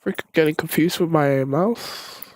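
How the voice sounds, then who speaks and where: A man's voice: a little high, a little slow, dry recording. A man chatting casually to his livestream as he reacts, his voice altered by a voice changer.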